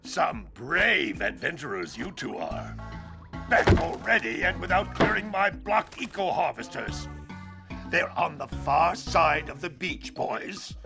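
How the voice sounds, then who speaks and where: A man voicing a gruff, bossy older male sage in a video game cutscene, grumbling orders in a gravelly voice. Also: fairly high pitch, sing-song, slow, over music, heavily acted.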